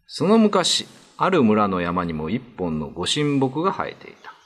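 A man's voice: on the low side, huge pitch swings, measured pace. A man giving scripted, solemn narration of an old ghost story.

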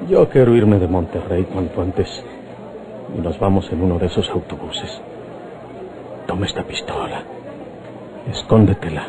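An actor playing a calm, decisive Mexican outlaw on the run in a radio drama. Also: male voice, low voice, moderate pace, pauses often, lightly acted, poor audio. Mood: urgent, controlled. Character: calm, armed fugitive outlaw man. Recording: radio drama with crowd behind.

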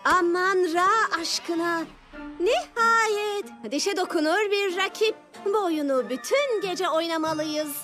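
A woman voicing a dramatic, competitive, excitable teenage monster girl in a cartoon dub. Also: extremely high pitch, takes it slowly, music in the background. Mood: excited. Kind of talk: animated cartoon dubbing.

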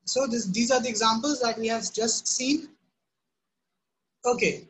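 A woman's voice: on the high side, measured pace, fairly bright tone, poor audio. Woman teaching math online. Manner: checks in often with students, repeating "right?" and "okay?". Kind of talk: live online class lecture. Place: home room.

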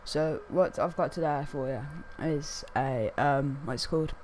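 A young man with a croaky, hoarse voice, chatting casually to camera as a gaming video host and rambling with lots of fillers. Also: on the high side, unhurried, a little mellow, slight background noise, rough recording. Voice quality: croaky, losing his voice. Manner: rambling, many fillers like "what's it called". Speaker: gaming YouTuber.

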